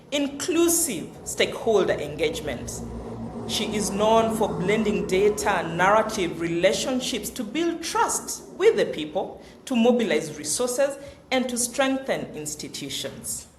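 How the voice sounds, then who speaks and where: A woman hosting a webinar, introducing each speaker in a formal, measured way. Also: mid-range voice, big pitch swings, slight background noise. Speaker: webinar host. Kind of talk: moderating a webinar. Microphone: video call. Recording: roomy echo.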